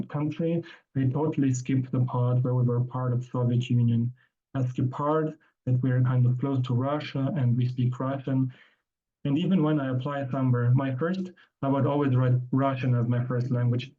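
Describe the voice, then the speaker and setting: A man presenting his research at an academic conference, speaking in a measured way with frequent ums and restarts. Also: mid-range voice, dark tone, muffled recording.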